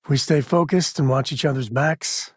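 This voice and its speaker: Guy voicing a husky space cowboy for a video game, folksy n wry, loyal type, dry close recording. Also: medium pitch, moderate pace, no room sound, natural voice. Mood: easygoing, wry. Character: rugged space cowboy explorer, wry, loyal, protective adult man. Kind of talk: video game character voice lines.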